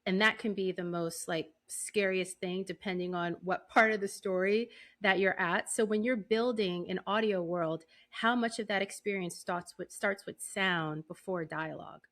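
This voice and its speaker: Casual woman interviewer, medium pitch. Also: fairly even intonation, normal speed, a bit bright. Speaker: podcast host, interviewer.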